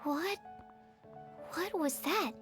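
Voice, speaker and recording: A gentle young scholar from a video game. She sounds timid and earnest, with a very high girlish voice over faint music. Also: drawn-out words, full character voice. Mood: earnest, curious. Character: gentle, timid, studious young woman researcher. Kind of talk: scripted video game character acting.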